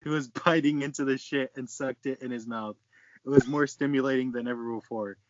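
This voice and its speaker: A man's voice: mid-range voice, steady tone, a little fast, no room sound. A young man talking and reading casually among friends. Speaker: member of amateur reading group. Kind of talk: casual chat and read-aloud among friends. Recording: dry, muffled lo-fi voice chat.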